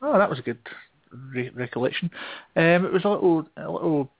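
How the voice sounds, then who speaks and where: A man's voice: fairly high pitch, lively intonation, a little fast, gravelly. A man chatting casually on a gaming podcast in a hesitant, rambling way, over a thin phone-like connection. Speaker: show host taking a turn as guest. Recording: thin phone-like internet radio sound.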